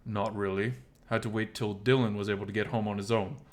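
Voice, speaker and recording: A man playing a calm, protective male bar owner in an audio story, speaking in a gentle, reassuring manner. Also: on the low side, fairly quick, natural voice. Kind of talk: dramatized audio story dialogue.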